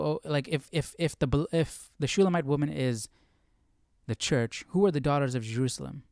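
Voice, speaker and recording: A man's voice: medium pitch, gravelly, clear, resonant tone, no room sound. Man musing aloud, clean and close. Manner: musing, questioning, halting. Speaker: host of a live Bible study. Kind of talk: casual reading and commentary, thinking aloud.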